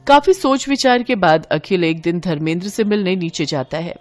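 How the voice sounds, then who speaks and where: A woman's voice: fairly low pitch, lively intonation, normal speed. A woman reading a scripted story as its narrator. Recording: music behind the voice.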